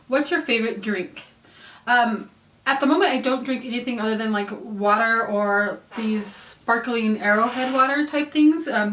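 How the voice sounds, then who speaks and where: Woman, late thirties, from California, casual chatty vlog, filler-heavy rambling, slightly high voice, echoey room. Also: fairly bright tone, slight background noise. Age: 30s. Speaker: YouTuber. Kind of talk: casual question-and-answer vlog. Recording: roomy echo, mic at a distance.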